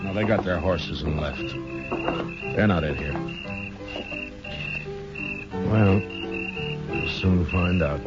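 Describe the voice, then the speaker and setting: An actor playing a terse, stern middle-aged American frontier marshal in a 1950s radio western. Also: male voice, low voice, a little slow, loud music behind the voice. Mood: grim, wary. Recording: muffled, noisy 1950s radio recording.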